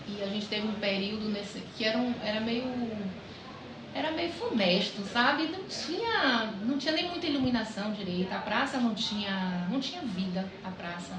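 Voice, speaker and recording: A woman's voice: on the high side, lively intonation, normal speed, echoey room, recorded from a distance, background noise. A woman, a longtime resident chatting and reminiscing in a documentary interview outdoors. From Salvador, Brazil. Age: 40s.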